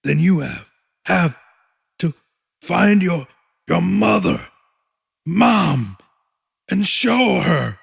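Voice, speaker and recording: A man voicing a dying hulking monster father in an audiobook, with gravelly, slurred, halting speech. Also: high voice, big pitch swings, full character voice. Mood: weak, urgent. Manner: halting, stammering through pain.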